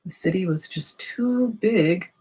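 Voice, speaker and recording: A woman's voice: on the low side, slow pace, warm tone, slightly rough. A woman reading a children's story aloud, expressively, with explanatory asides and questions for young listeners.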